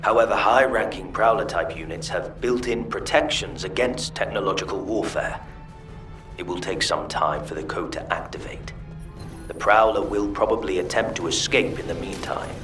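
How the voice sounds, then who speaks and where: A low, echoing, scheming villain scientist. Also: male voice, distant mic. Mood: cold, composed. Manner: measured, menacing, condescending. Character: scheming male villain scientist, cold, gloating and controlling. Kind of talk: dramatic video game voice acting. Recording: roomy echo, loud music and gunfire.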